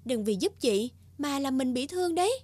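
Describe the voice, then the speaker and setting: A woman voicing a gentle, worried young fairy in a children's cartoon fairy tale. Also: high-pitched, very animated, normal speed, no echo. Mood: concerned.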